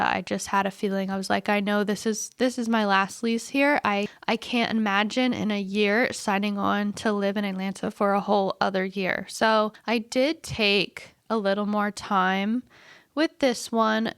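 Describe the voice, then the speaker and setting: A woman's voice: mid-range voice, smooth, dry recording, clean studio sound. A woman in her twenties hosting a solo podcast, rambling and chatty while congested from a cold. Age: 20s. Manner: rambling, chatty, self-deprecating. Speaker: podcast host. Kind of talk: casual solo podcast monologue.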